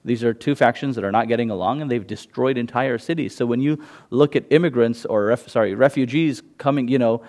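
A man's voice: medium pitch, fairly quick, hardly any pauses, a little mellow. A man, an imam, giving an informal talk to an audience at a meditation center, loose and conversational, with frequent fillers and restarts. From United States.

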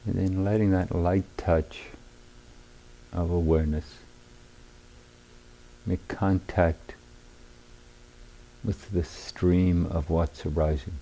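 Very deep, gravelly male meditation teacher. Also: fairly even intonation, dark tone, low-quality recording. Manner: measured, sparse phrases with long pauses. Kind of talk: guided meditation instruction. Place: meditation retreat hall.

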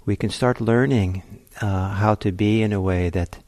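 A man teaching meditation in a gentle, reflective way, pausing often as he searches for phrasing. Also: fairly low pitch, no room sound, clean studio sound. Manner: gentle, hesitant, reflective phrasing with long pauses. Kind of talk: guided meditation talk.

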